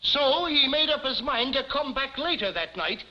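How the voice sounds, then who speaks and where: An actor playing a blustering, sarcastic and suspicious police chief in a 1950s television comedy, exasperated and declamatory. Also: male voice, very high-pitched, animated, moderate pace. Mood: accusing, exasperated. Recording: muffled 1950s television soundtrack.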